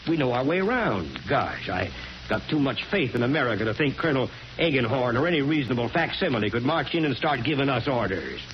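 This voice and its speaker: An actor playing a stubborn, folksy small-town mayor in a 1940s radio drama, plainspoken and blustering. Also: male voice, mid-range voice, sing-song, fairly quick, natural voice. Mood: heated, exasperated. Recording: muffled, hissy 1940s radio broadcast.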